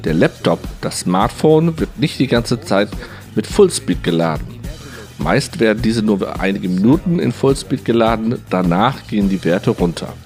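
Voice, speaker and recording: A man with a fairly low voice reads a translated interview as a voice-over. His delivery is scripted, and faint music plays behind him. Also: lively intonation, moderate pace, darkish tone.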